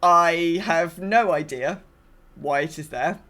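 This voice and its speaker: A woman's voice: fairly low pitch, slow, fairly bright tone. A woman co-hosting a casual gaming podcast, speaking in a wry, understated and somewhat hesitant way. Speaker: podcast co-host.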